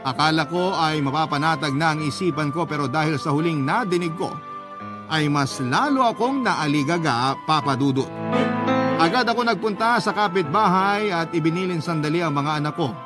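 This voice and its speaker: A man's voice: on the high side, expressive, moderate pace, close to an everyday voice. A man playing a worried, devoted storyteller, giving an earnest first-person radio drama narration. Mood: uneasy. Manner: earnest, emotional. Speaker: letter-sender narrator. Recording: music bed under narration.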